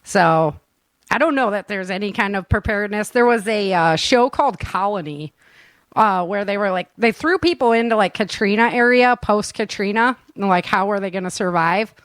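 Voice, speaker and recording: A woman's voice: mid-range voice, normal speed, dry recording. A woman hosting a casual live chat show, talking informally with plenty of everyday slang. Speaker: show host and producer.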